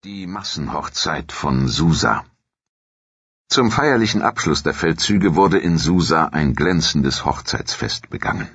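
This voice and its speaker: A male narrator speaks in an extremely deep, husky register. He reads scripted documentary audiobook text. The recording is dry and somewhat muffled. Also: lively intonation, unhurried, fairly bright tone, no room sound.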